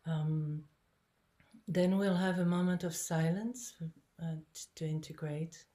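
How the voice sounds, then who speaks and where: A woman in her sixties from Lebanon, calmly and gently guiding an online prayer gathering over a video call. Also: fairly low pitch, takes it slowly. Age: 60s. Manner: calm, gentle, inviting. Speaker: prayer facilitator. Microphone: video call microphone.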